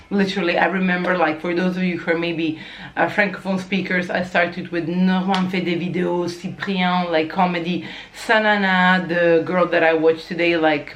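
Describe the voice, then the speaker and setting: A woman's voice: on the low side, fairly bright tone. A young woman from Croatia, whose first language is Croatian, chatting to camera about learning languages, eager and often restarting her sentences. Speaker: language vlogger. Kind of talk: casual talk to camera.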